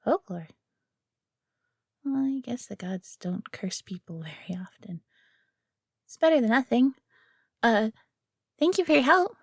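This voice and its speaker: A woman's voice: on the high side, expressive, measured pace, creaky, pauses often, breathy edge, no room sound, studio quality, exaggerated voice. A woman playing a friendly, nervous fox companion in a fantasy audio roleplay, speaking to the listener in a hesitant, chatty way.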